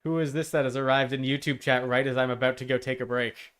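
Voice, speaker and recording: A man chatting casually and rambling as he streams a video game, with an animated, amused manner. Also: medium pitch, quick pace, clean tone. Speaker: live streamer. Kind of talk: casual gaming stream chat. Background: video game music.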